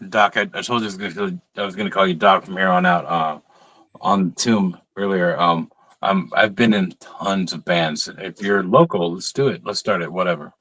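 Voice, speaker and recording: A man in casual chat, excitable, jumping in and repeating himself as he talks. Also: low-pitched, normal speed, no echo.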